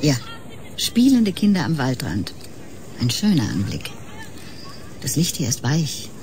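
An enigmatic woman of a science-fiction radio play who stands apart from humanity. Her voice sits low and swings widely in melody, solemn with breathy whispers. There is noticeable ambient noise behind her. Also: huge pitch swings, unhurried, darkish tone, exaggerated voice. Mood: enigmatic, calm. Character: detached, otherworldly woman who scorns human emotion. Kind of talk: German science-fiction radio drama acting.

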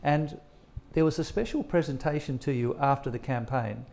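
A man's voice: mid-range voice, normal speed, gravelly, darkish tone. An older man with an Australian accent, interviewing guests on stage as the host of an evening event.

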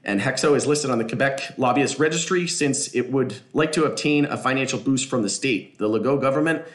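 Guy rattling off stock news fast, casual asides, echoey room. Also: medium pitch. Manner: casual, reads articles aloud with asides and fillers. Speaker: stock market video host. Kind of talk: screen-share commentary reading news articles.

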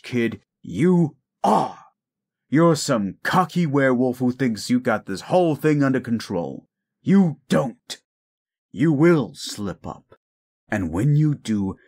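Casting: male actor for jaded, grieving werewolf in an audio roleplay, slow gravelly delivery, cynical lecturer. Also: medium pitch, expressive, a little mellow, full character voice. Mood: angry. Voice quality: gruff, gravelly. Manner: cynical, scolding, deliberate storytelling. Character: bitter, grieving, gruff adult male werewolf. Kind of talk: audio roleplay drama acting.

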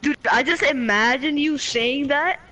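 Giggly fifth-grade kid on game chat. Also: male voice, extremely high pitch, unhurried. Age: child. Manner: excitable, giggly, stumbling over words. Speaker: online game player. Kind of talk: casual voice chat while gaming.

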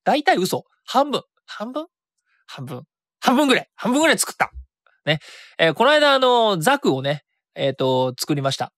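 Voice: medium pitch, big pitch swings, normal speed, a bit bright, no room sound, studio quality. A radio host chatting casually and solo about a hobby, thinking aloud and self-correcting along the way.

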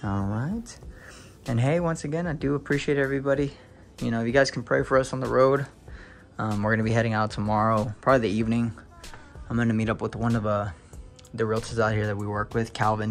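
A young man filming a casual home tour on a handheld phone, talking to viewers with excited exclamations and lots of fillers. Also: on the low side, fairly quick, faint music behind. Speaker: real estate video host. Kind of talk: casual walkthrough narration. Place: inside a large empty house.

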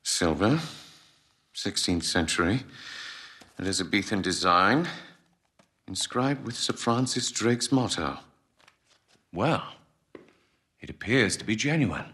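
An actor with an English accent playing a suave, coolly menacing dealer in a video game, formal, measured and polite. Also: male voice, lowish voice, expressive, pushed and bright, full character voice. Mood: polite, guarded. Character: suave, coolly menacing well-dressed male dealer in shady trades. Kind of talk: video game cutscene acting.

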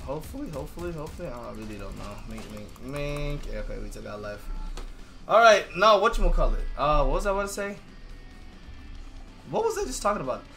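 Hoarse young man ranting over gameplay. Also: fairly high pitch, a little fast, faint music behind. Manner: excitable, rambling, swears freely. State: hoarse, losing his voice. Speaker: gaming YouTuber. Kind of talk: live gameplay commentary.

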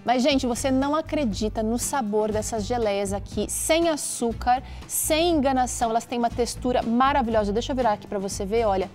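A Brazilian woman in her thirties presents a cookery programme in a high voice. She is chatty and enthusiastic, selling things casually and straight to the viewer. Faint music plays behind her. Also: brisk, hardly any pauses, darkish tone. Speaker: TV cooking show host. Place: TV kitchen studio.

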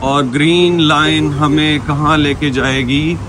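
A man's voice: a little high, steady tone, slow pace. A man in his thirties with a Pakistani accent, chatting casually to viewers as a travel vlogger, filming himself on a phone. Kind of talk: casual vlog narration to camera. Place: busy restaurant and open train station. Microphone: phone at arm's length. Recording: noisy restaurant and street ambience.

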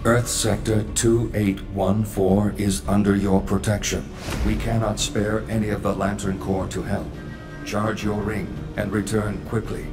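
A stern alien guardian of the cosmos in a video game. The voice is deep, formal and grave, with music clearly behind it. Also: male voice, little pitch movement, a little slow. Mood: stern, commanding. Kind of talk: video game cutscene acting.

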